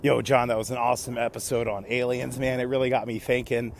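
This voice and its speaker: A man's voice: mid-range voice, level tone, fast talker, a little hiss or hum. A man leaving an enthusiastic, laid-back voicemail for a podcast host, using casual slang. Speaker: caller, fellow podcaster. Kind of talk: voicemail message.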